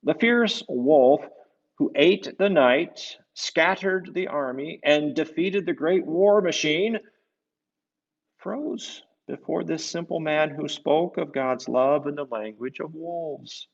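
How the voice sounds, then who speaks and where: A man reading a picture book to children, gently explaining it and often tagging questions onto his remarks. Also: fairly high pitch, slow pace, vocal fry, lo-fi sound. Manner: gentle, explanatory, asks the listeners tag questions. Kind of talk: storybook read-aloud with conversational asides.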